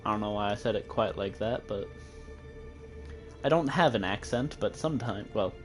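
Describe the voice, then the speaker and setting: A man's voice: lowish voice, lively intonation, normal speed, faint music behind. A young man with a Midwestern American accent giving playful, giggly commentary while streaming a game. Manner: playful, giggly, joking with chat. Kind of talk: casual gameplay commentary.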